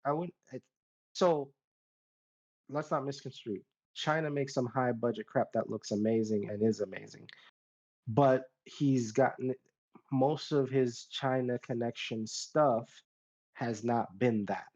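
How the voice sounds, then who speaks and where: A man with a middle-pitched voice talks casually on a podcast panel. He rambles through his opinions and qualifies them as he goes. The recording is a little dull and lo-fi. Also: a little slow, a little mellow. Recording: dull lo-fi mic.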